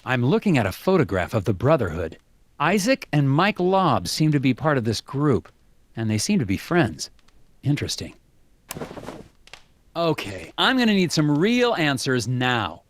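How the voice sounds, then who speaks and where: A man's voice: mid-range voice, wildly swooping pitch, normal speed. An actor playing an earnest, puzzled male investigator in an adventure game, inquisitive and given to talking to himself. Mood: musing. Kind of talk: animated game dialogue and narration.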